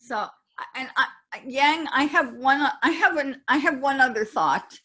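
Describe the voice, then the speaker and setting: Earnest, halting woman on a video call. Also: high voice, lively intonation, bright, forceful tone. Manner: earnest, halting with many restarts. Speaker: poet and event host. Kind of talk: reflective online panel talk.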